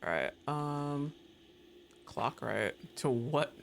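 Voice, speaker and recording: A man streams a game live and narrates every moment out loud. His voice sits a little high and leaps around constantly. He swears freely, laughs easily and yells at the game. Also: vocal fry, a little hiss or hum. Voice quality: excitable, profane, quick to laugh, talks to the game. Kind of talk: live gameplay commentary.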